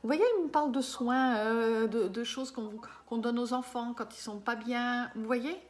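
A woman's voice: fairly high pitch, fairly even intonation, normal speed. A woman in her fifties, a medium giving a reading over webcam, hesitant with many fillers and checking in with her listener. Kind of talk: live reading session with a client. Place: small room indoors. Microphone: webcam at desk.

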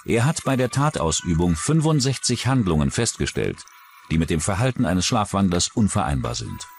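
Deep, animated true-crime narrator, a man. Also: normal speed, gravelly. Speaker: documentary narrator. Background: music behind the voice.

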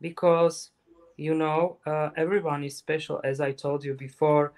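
A man demonstrating a craft project on a live stream, talking slowly and haltingly with many fillers. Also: a little high, little pitch movement, a little mellow. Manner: hesitant, many fillers, halting non-native phrasing. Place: craft table indoors.

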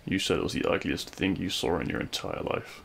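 Man playing a low, gravelly, regretful villain. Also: slight background noise. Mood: wistful. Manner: hushed, halting, wistful, with pauses and sighs. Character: regretful lovelorn male supervillain addressing his fallen hero. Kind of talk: scripted ASMR audio roleplay monologue.

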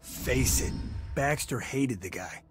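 A man's voice: medium pitch, animated, slow pace, music in the background. An actor playing a jaded, matter-of-fact policeman in a video game.

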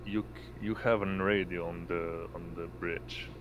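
Man, deep pitch, halting game-master narration, unhurried, noisy background hum. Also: lo-fi sound. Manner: halting, pausing mid-sentence. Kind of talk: improvised tabletop game narration. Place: indoors, online voice call. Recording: noisy home mic, steady hum.

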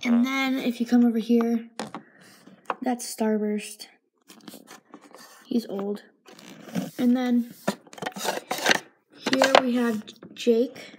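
A woman's voice: fairly high pitch, steady tone, drawn-out words, pauses often, background noise. Chatty young girl giving a toy barn tour. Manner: chatty, rambling, sometimes drops to a whisper. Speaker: vlogger. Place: home room, indoors. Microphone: phone held in hand while filming.

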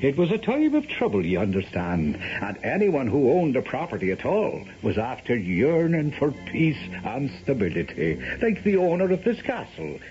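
An actor playing a sly, whimsical old Irish storyteller in a radio drama, with a teasing, folksy lilt. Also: male voice, mid-range voice, wildly swooping pitch, normal speed, few pauses, a little mellow, faint music behind. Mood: mysterious, storytelling. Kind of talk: 1970s radio drama acting. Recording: dull, noisy vintage radio broadcast.

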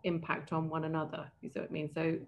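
A woman's voice: deep voice, completely flat delivery, fast. A woman who works as an opera casting director, talking as a guest on a video call interview, thoughtful and often restarting her sentences. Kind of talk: casual interview talk.

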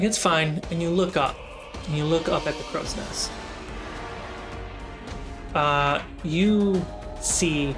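A game master narrating a tabletop role-playing game to the players. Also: mid-range voice. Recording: loud background music under the voice. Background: cinematic musical score.

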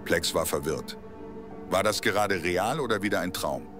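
A man playing the storyteller of an audio drama, narrating in a grave, measured, dramatic way. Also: sits low, over music, natural voice. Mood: grave, suspenseful.

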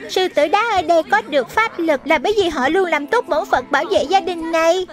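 A woman's voice: very high voice, a little fast. A woman voicing a small, encouraging lion sprite who guides children in a cartoon, childlike and instructive. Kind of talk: dubbed children's cartoon acting. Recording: music behind the voice.

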